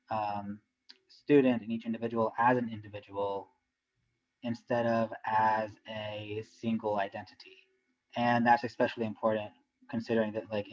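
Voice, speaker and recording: A man reporting back from his discussion group on a video call, speaking haltingly with many fillers. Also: on the low side. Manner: halting, many fillers, slow deliberate phrasing. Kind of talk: reporting back in an online seminar. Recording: dull lo-fi video call audio.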